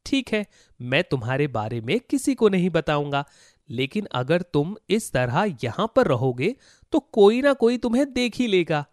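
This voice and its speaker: A man's voice: on the high side, very animated, a little slow, dry recording, clean studio sound. A man voicing a kind, brave boy in a children's story, speaking earnestly and with animation. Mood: reassuring. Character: kind, brave poor boy, eager to help. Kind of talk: Hindi children's moral story voice acting.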